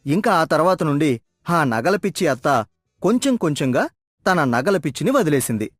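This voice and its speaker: A male narrator reads a scripted moral story. His voice is somewhat high and very lively in pitch, with faint music behind. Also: moderate pace, dry recording, studio quality.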